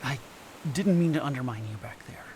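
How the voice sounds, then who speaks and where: An actor playing a reluctant, troubled anthropologist in an audio drama, measured and hesitant, with earnest, evasive delivery. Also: male voice, mid-range voice, lively intonation, a little mellow, slight background noise, close to an everyday voice. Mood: hesitant, guarded.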